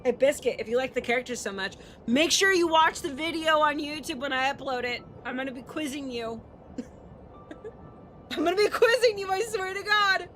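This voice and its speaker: A woman's voice: high-pitched, animated, moderate pace, sharp tone, faint music behind. A woman live-streaming, reading a story aloud with casual, playful and sometimes profane asides. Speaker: streamer hosting a visual novel read-aloud.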